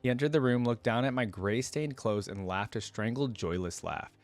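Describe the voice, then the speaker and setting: A man's voice: mid-range voice, normal speed, soft background music, lightly acted. A man reading a first-person story as a downcast, bitter young male inventor from a smoggy slum, in a plain, resigned manner.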